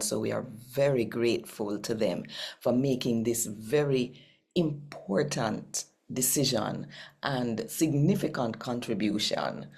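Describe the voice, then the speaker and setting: A Jamaican woman introduces her channel in a very low voice with plenty of creak. She takes her time as she addresses viewers, moving between standard English and Patois. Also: darkish tone. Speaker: channel host.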